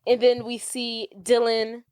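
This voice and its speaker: High-voiced playful woman podcaster. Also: unhurried, clean tone, no echo. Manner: playful, animated, jokey retelling. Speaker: podcast co-host. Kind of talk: casual movie recap chat.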